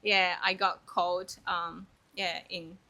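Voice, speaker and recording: A woman from Japan with a Japanese accent, answering interview questions casually and haltingly as she searches for words in her second language. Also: fairly low pitch, little pitch movement, pushed and bright. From Kobe, Japan. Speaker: interview guest.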